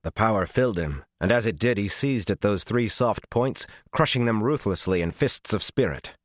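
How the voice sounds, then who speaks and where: A man reading a dramatic fantasy audiobook as its narrator, with a measured, intense and expressive delivery. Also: lowish voice, no room sound, clean studio sound.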